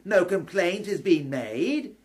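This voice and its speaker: An actor playing a haughty, threatening lawyer in an audio drama, speaking formally, pompously and with emphasis. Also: male voice, high voice, lively intonation, takes it slowly. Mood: coolly dismissive.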